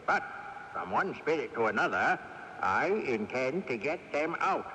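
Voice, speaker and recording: Cantankerous old ghost in long reverb. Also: male voice, mid-range voice, sing-song, unhurried, bright, forceful tone. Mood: scheming. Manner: theatrical, chuckling, stubborn. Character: cantankerous, sly old ghost of a great-grandfather. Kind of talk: radio mystery drama acting. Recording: off-air 1980s radio tape, reverberant, hissy.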